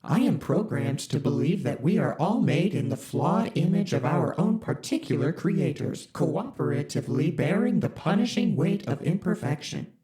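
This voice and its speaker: An actor voicing a deadpan corporate ship AI. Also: medium pitch, moderate pace, few pauses, close to an everyday voice. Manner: flat, polite, bureaucratic. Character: deadpan corporate spaceship computer AI. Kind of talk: audio drama.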